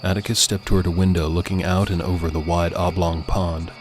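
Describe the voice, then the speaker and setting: A man's voice: low-pitched, flat delivery, brisk, very noisy. A man reading scripted fiction as a dramatic audiobook narrator. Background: music.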